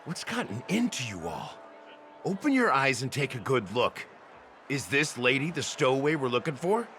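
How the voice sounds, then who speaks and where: A man's voice: medium pitch, sing-song, moderate pace, a bit bright, a little hiss or hum. A man voicing a stern, authoritative officer in a game, talking in an emphatic, commanding way. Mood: stern, exasperated. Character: stern, authoritative male officer, calmly scolding underlings. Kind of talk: game voice acting.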